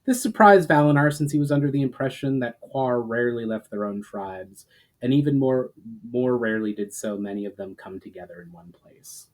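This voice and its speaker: A man with a mid-pitched voice reads fantasy fiction aloud. His narration is dramatic, starting phrases with emphasis and letting their endings fall away softly. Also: normal speed, rough recording. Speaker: author reading his fantasy story.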